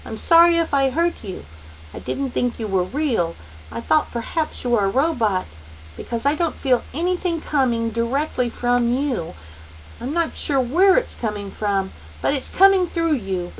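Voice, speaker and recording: A woman's voice: a little high, lively intonation, moderate pace, lo-fi sound, a little hiss or hum, voice pushed up, close to an everyday voice. A woman voicing a small, clever boy who speaks defiantly and with a shaky voice.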